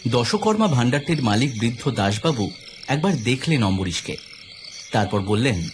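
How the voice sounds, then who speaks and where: A man narrating a scripted audio story in an expressive, suspenseful, dramatic storytelling style. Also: mid-range voice, normal speed, noisy background. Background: clear background music.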